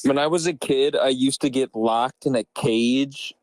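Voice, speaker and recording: Earnest, deadpan man, medium pitch. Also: unhurried, no room sound, rough recording. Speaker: podcast member. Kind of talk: improvised self-mocking comedy.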